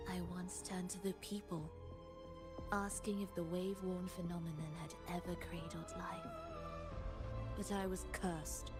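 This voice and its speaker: An actress playing a sorrowful, soft-spoken game character hardened by loss, speaking in a measured, solemn and sometimes hushed way. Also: female voice, lowish voice, close to an everyday voice. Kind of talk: dramatic game voice acting. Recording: voice under prominent string music. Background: violin music.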